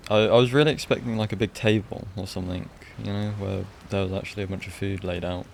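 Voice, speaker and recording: A man giving casual live gameplay commentary as a streamer, blunt and deadpan, in halting phrases. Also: sits low, creaky, a little hiss or hum. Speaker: game streamer.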